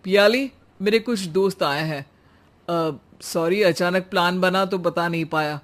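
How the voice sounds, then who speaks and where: A man's voice: sits high, measured pace, fairly bright tone. A man voicing a harried young husband in a cartoon, coaxing and a bit impatient. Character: harried young husband Suraj, office worker. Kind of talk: cartoon voice acting.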